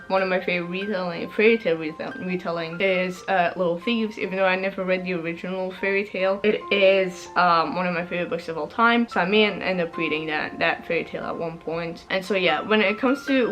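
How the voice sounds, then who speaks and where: A woman's voice: lowish voice, dull audio. A young woman, a native French speaker, casually explaining reading prompts, rambling and correcting herself, laughing often. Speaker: book vlogger.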